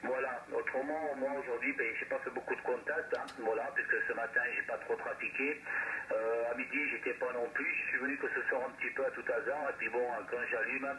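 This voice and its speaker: A man's voice: mid-range voice, steady tone, a little fast. A man chatting casually as an amateur radio operator, heard through a radio receiver, rambling and repeating his words. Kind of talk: casual radio contact chat. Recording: noisy shortwave radio reception.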